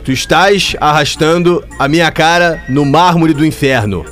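A man's voice: fairly high pitch, normal speed, fairly bright tone, faint music behind. A man, a radio co-host telling anecdotes with laughter. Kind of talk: casual banter.

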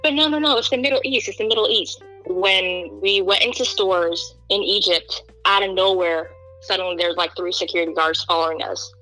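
Earnest young woman, bright forceful voice. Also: mid-range voice, normal speed, faint music behind, rough recording. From Kenya. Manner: earnest, emphatic, animated when quoting others. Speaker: guest. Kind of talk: candid interview talk.

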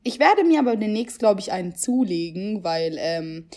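A woman's voice: medium pitch, big pitch swings, normal speed, dark tone. A young woman who grew up in Germany, chatting casually and animatedly, swearing and dropping English phrases into her talk. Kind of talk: casual chat.

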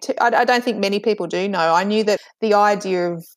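A woman's voice: mid-range voice, fast. An Australian woman from northern New South Wales, a writer, chatting as an interview guest in a chatty, self-deprecating way. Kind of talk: casual interview chat.

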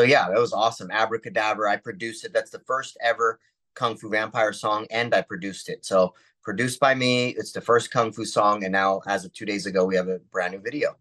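A man's voice: on the low side, steady tone, a little fast, fairly bright tone, no room sound. A man chatting casually in an interview as a touring musician, with slangy, informal phrasing. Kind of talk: casual chat.

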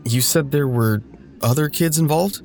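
A man's voice: on the high side, moderate pace, creaky, darkish tone, soft background music. An actor playing a curious local man in casual, blunt, naturalistic audio drama dialogue. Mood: curious, concerned.

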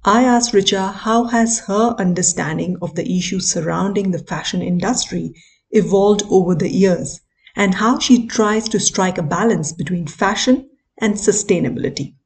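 A woman host presenting a scripted segment, introducing guests in a clear, measured delivery. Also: medium pitch. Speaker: podcast host. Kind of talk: scripted narration.

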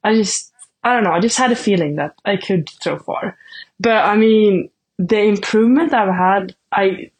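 A woman's voice: mid-range voice, moderate pace, breathy edge. A woman in her twenties with a Swedish accent, Swedish native speaker, answering interview questions casually and hesitantly, full of fillers. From Sweden. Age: 20s. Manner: hesitant, many fillers like "like" and "I don't know". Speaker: athlete guest.

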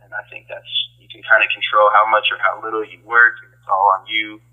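A man's voice: fairly low pitch, flat delivery, brisk. A man talking casually and thoughtfully in an informal interview over a phone line, with some slang, as a music producer. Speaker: recording engineer and music producer being interviewed. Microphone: phone call. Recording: tinny telephone line.

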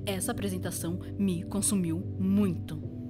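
A woman's voice: on the low side, steady tone, normal speed, natural voice. A Brazilian woman voicing a weary, sceptical, grumbling inner voice in an audio drama. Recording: music behind the voice.

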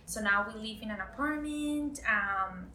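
A woman's voice: mid-range voice, measured pace. Young woman, chatty storytelling, echoey room, distant mic. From Costa Rica. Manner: excited, chatty. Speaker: interview guest, first-time mother. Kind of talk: casual personal storytelling. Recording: roomy, distant mic, faint noise.

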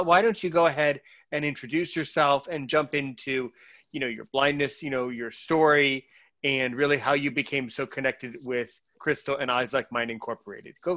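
A man's voice: fairly high pitch, a bit bright. A man hosting a podcast in a casual, rambling way, with fillers and restarts. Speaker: podcast host.

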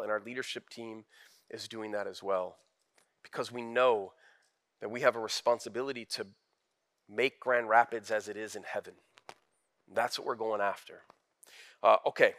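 A man's voice: a little high, bright, forceful tone. A man preaching a sermon as pastor, candid and conversational, building to emphatic rises.

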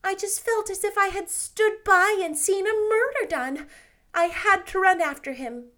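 A woman voicing an eager, impulsive young heroine, high and pressing. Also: a little slow, pushed and bright, exaggerated voice. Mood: imploring. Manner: urgent, emphatic pleading. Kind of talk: storybook reading.

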